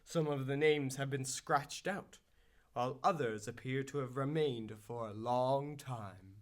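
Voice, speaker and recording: An actor as the dramatic, ominous storyteller of a hero's adventure, in scripted radio drama narration. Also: male voice, mid-range voice, unhurried, lightly acted.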